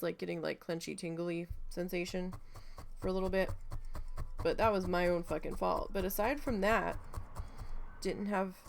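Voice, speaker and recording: Gravelly-voiced woman chatting casually. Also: mid-range voice. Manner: rambling, full of fillers, swears freely. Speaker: craft vlogger. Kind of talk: casual chat while needle felting.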